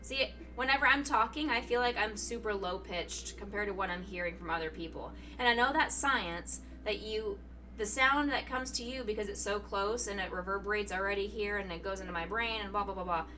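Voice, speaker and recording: A woman's voice: fairly quick, pushed and bright, far from the mic. A young woman streaming from home, chatting casually and playfully with chat while fighting off hiccups. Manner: chatty and playful, swings into high surprised exclamations. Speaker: Twitch streamer. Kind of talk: casual live-stream chat, reading chat messages. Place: home room. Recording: voice a little off-mic, music behind.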